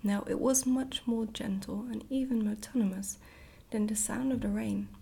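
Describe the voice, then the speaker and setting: A woman gently reading a storybook aloud in a hushed, whispered ASMR style. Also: fairly high pitch, fairly even intonation, normal speed, creaky, slightly rough. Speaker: storybook reader, ASMR creator.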